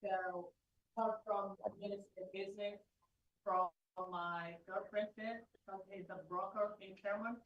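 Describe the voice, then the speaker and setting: Man, halting speech, dull lo-fi sound, roomy and far from mic. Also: high voice, little pitch movement. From Morocco. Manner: halting, searches for words. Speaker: student.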